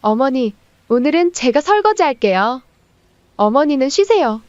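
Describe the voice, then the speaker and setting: A woman's voice: high-pitched, very animated, normal speed, darkish tone, no room sound. A woman playing a meek, polite young daughter-in-law and mother in a dramatized audio story. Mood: eager, grateful. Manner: polite, beseeching. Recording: slightly dull, dry studio sound.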